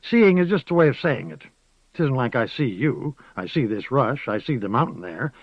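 A man's voice: medium pitch, very animated, normal speed, husky, dry recording, voice pushed up. A man reading an audiobook, voicing an old rustic village witch woman who rambles folksily, calls people dearie and slips into chanting. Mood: folksy, knowing. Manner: rocking, chanting incantation. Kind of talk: audiobook character voice. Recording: dull, dry lo-fi recording.

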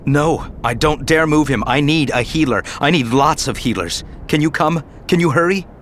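A man's voice: medium pitch, moderate pace, a bit bright, a little hiss or hum, voice pushed up, lightly acted. A man voicing a young male apprentice who speaks urgently and pleads for help. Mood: urgent pleading.

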